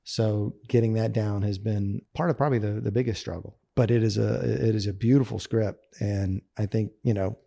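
A man with a fairly low, mellow voice talks as an interview guest. He is eager and chatty, restarting sentences and laughing easily as he explains his thoughts. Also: steady tone, normal speed, creaky, few pauses. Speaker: guest actor. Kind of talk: casual conversational interview.

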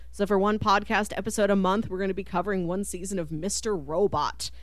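A woman's voice: mid-range voice, no echo. A woman hosting a podcast, chatting casually about pop culture and laughing readily. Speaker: podcast host.

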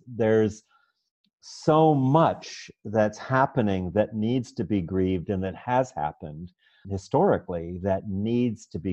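A man hosting an interview, asking thoughtful, drawn-out questions with a soft laugh now and then. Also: fairly low pitch. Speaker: host. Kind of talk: conversational interview. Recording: dull lo-fi audio.

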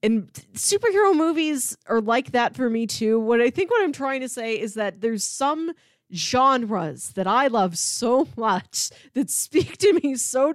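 A woman's voice: a little high, no room sound, clean studio sound. A woman co-hosting a casual podcast, chatting in an enthusiastic, effusive way. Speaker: podcast co-host.

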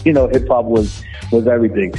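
A man's voice: mid-range voice, a little fast, warm tone, faint music behind. A man in his forties from Argentina, raised in Miami, talking loosely as a guest rapper over a phone call. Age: 40s. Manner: laid-back, rambling, calls people brother. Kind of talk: casual interview over phone. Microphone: phone line.

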